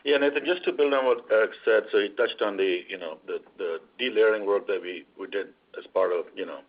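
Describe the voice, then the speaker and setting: A male executive speaks on an earnings call in a deep, husky voice. He moves quickly through his semi-formal business explanation. The audio is dull and band-limited.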